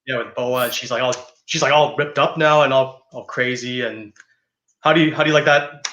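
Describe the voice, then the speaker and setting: A man's voice: medium pitch, steady tone, fairly quick, pushed and bright. A man with a Canadian accent casually hosting a livestream interview, recorded with roomy, dull lo-fi audio. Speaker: interview host, wrestling announcer.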